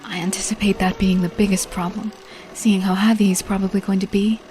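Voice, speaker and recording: A woman playing a determined female thief, narrating a game cutscene in a measured, confiding, resolute way. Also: mid-range voice, level tone, dark tone, a little hiss or hum, close to an everyday voice. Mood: determined, planning. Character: determined female thief narrating her stealth rescue mission. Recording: music under the voice. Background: background music.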